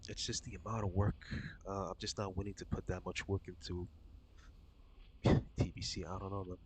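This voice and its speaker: A man streaming a game and chatting casually with his viewers, his voice slightly raspy from being sick, rambling and swearing loosely. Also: low voice, level tone, moderate pace, a little hiss or hum.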